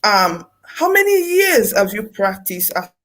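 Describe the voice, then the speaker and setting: A woman's voice: medium pitch, sing-song, unhurried, a bit bright. A woman hosting an interview, effusive, repeating her thanks and phrases with many fillers. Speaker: interview host. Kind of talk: interview talk.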